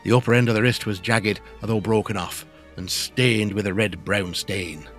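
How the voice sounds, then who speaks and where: A man's voice: on the low side, fairly even intonation, moderate pace, soft background music. A man narrating a gothic audio drama in a scripted storytelling style.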